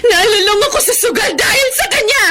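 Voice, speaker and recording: A woman playing a bitter, vengeful jilted lover in an emotional radio melodrama, with halting, repeated phrases. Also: very high-pitched. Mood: tearful anguish. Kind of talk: Filipino radio melodrama acting. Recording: music behind the dialogue.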